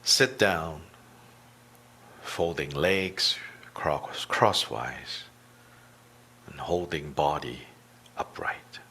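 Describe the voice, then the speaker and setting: A man teaching a guided meditation, speaking deliberately in short phrases with long pauses between them. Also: extremely low pitch, bright, forceful tone, slightly rough.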